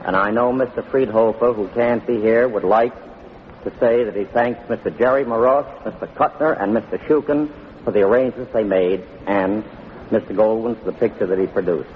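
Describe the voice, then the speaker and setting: Low-voiced man in a reverberant hall. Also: a little fast. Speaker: award acceptor. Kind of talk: formal acceptance speech. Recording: hollow, noisy narrow-band old radio broadcast.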